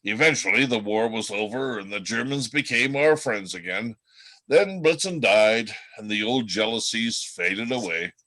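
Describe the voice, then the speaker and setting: A man's voice: lowish voice, moderate pace, pushed and bright, poor audio. A man reading the part of a wry, world-weary old reindeer telling stories in a radio play. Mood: wry, reminiscing.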